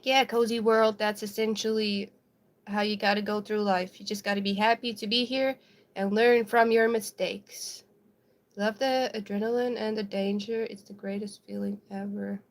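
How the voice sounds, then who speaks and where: A woman's voice: medium pitch, flat delivery, normal speed. A young South African woman whose first language is Afrikaans, with an American-sounding accent, chatting casually on a livestream and answering viewer comments, giggly, with lots of ums and likes. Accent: American-sounding English.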